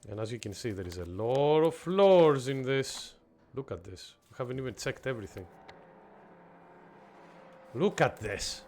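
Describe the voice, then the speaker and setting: A man's voice: medium pitch, lively intonation, unhurried, a little mellow. Chatty man from Greece commentating a game. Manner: chatty, reacting to the game and answering chat. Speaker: streamer. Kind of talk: casual gameplay commentary.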